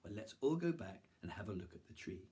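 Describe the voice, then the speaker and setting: A man voicing a wise, calm lion in a told story, asking measured questions. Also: lowish voice.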